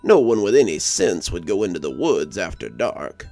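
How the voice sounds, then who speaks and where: A man voicing a grave, watchful army sentry with a measured manner in an audio story. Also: deep voice, big pitch swings, mellow, dry recording, soft background music, voice pushed down. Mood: grave warning.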